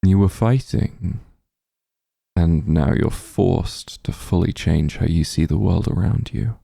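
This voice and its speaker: Doting demon lord, played by a man, tender comforting ASMR roleplay, heavy vocal fry, close dry sound. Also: takes it slowly, dry recording, full character voice. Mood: soothing, comforting. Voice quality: low, gravelly, husky. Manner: soothing, tender, gently coaxing. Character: doting demon lord, shapeshifted into soft strong form.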